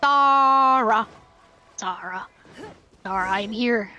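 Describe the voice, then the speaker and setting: A woman's voice: a little high, slow pace, pushed and bright, highs cut off, soft background music. A woman chatting casually and playfully as she commentates on a live game stream.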